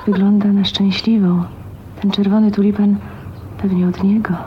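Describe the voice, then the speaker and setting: An actress playing a shy, lonely, dreamy young spinster in a radio drama, speaking hesitantly in a hushed, intimate way. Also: female voice, mid-range voice, little pitch movement, moderate pace, close to an everyday voice. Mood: dreamy musing. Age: young adult. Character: shy, lonely, dreamy 29-year-old spinster, wistful and wounded. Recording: dull, noisy 1980s radio drama recording.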